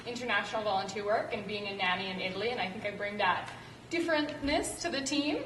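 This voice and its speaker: A woman's voice: medium pitch, quick pace, a bit bright. A young woman from British Columbia with a Canadian accent, giving a rehearsed student presentation. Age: young adult. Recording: echoey room, distant mic, faint noise.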